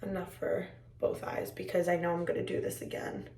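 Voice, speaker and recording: A young woman with a raspy, tired voice, chatting casually to her viewers in a home vlog. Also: fairly low pitch, monotone, a little fast, dark tone, reverberant, far from the mic, a little hiss or hum. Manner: chatty, rambling, talks to viewers as friends. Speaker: vlogger. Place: indoors at home.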